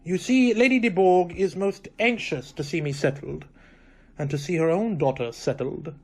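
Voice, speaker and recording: A man's voice: high-pitched, moderate pace, darkish tone. Radio drama role, male actor: obsequious, self-satisfied clergyman, formal and pompous in every phrase. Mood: smug, chatty. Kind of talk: radio play acting.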